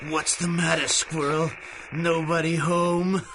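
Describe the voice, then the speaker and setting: A dim-witted gangland heavy from a pulp radio serial. He talks slowly and forcefully in sneering tough-guy slang, surly but a coward underneath. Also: a little high, level tone, a little hiss or hum, low-quality recording. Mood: gloating. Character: dim, surly male gangster henchman, cowardly under threat. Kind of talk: radio drama acting, pulp adventure serial.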